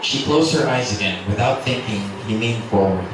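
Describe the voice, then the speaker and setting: A man's voice: on the low side, normal speed. Man narrating romance in an echoey room. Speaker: narrator, reader at a literary festival. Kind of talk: dramatic scripted narration of a romance novel. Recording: echoey, noisy room from far off.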